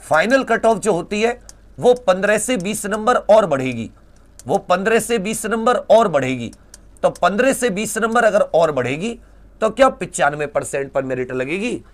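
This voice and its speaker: High-pitched, lively man explaining. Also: moderate pace. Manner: emphatic, repeats points and asks rhetorical questions. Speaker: exam-prep teacher and presenter. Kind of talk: informal explainer of exam results to students.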